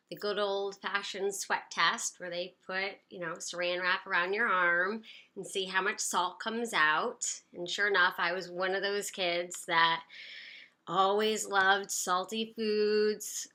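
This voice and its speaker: A woman's voice: lowish voice, bright, forceful tone. A woman in her late thirties from the United States, telling her own story in an upbeat, chatty way with plenty of fillers. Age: 30s. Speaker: personal storyteller. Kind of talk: casual personal storytelling to camera.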